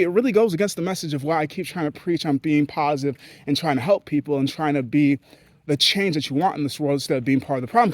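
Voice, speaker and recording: A man from Haiti talks straight to his audience in a candid, meandering rant full of swearing. His voice sits a little high, and it leaps up and drops low as he goes. The sound is dry and close, with no room around it. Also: no echo. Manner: blunt, profane and rambling, with sudden jumps in pitch. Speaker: vlogger. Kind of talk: candid ranting monologue.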